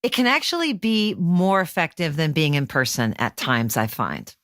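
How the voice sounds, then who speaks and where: Woman podcast host, slightly low voice, chatty interview style, really dry sound. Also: very animated, normal speed, a bit bright, dry recording. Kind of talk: conversational interview.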